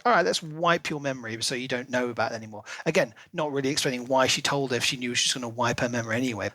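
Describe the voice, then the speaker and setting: A man's voice: on the high side, a bit bright, dry recording. A man co-hosting a podcast, talking casually and speculatively about a book. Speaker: podcast co-host.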